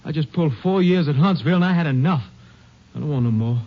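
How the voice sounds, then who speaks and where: An actor playing a frightened, desperate ex-convict in a 1950s radio drama, pleading and defensive in rough rural speech. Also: male voice, on the high side, lively intonation, fast talker, natural voice. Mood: scared, defensive. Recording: dull vintage 1950s radio recording.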